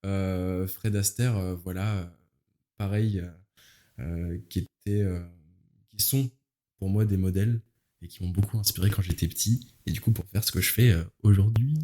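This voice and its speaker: A man's voice: low voice, steady tone, moderate pace, mellow. A nineteen-year-old man, chatting casually as an interview guest in youthful slang, searching for his words. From Paris, France. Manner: informal, youthful slang, hesitant searching phrasing. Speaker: interview guest, musical theatre performer.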